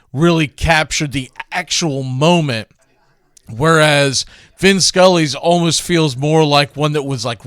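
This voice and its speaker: A man's voice: a little high, fairly bright tone, clean tone, dry recording. A man hosting a baseball show, reminiscing and commenting in a rambling, conversational way about classic calls. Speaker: baseball radio show host.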